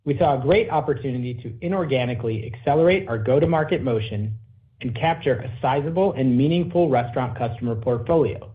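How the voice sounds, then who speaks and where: A man's voice: medium pitch, fairly quick. A man speaking as a company executive on an earnings call, in businesslike corporate phrasing. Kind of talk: earnings call presentation and answers. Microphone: conference call line. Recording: narrow phone-like conference line.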